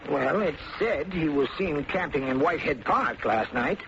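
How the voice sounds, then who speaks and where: A dull, noisy vintage radio recording carries a male small-town bank manager. His voice sits on the high side, fretful and self-pitying, full of worry for his own standing. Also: expressive, normal speed, vocal fry. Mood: worried, grumbling. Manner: fretful, worried. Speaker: supporting radio actor. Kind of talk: old-time radio drama acting.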